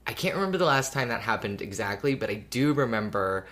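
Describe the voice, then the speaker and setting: Co-host, man, deep voice, loose rambling fan chat with frequent laughs. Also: lively intonation, fast, fairly bright tone. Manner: thoughtful, rambling with many "like"s, laughs often. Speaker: podcast co-host. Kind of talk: casual fan podcast chat.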